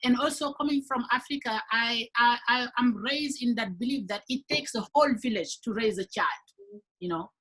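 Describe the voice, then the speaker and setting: A woman from Senegal who teaches high school talks earnestly in a panel discussion, often repeating words as she works toward her point. Her voice is a little husky and sits fairly high. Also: normal speed, a bit bright, poor audio.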